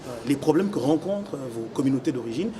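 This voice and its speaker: A young man from Congo who lives in Belgium, giving deliberate, explanatory interview answers as an election candidate. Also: medium pitch, lively intonation. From Congo, living in Belgium since 1984. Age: young adult. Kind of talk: semi-formal interview answers. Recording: noisy location with background ambience.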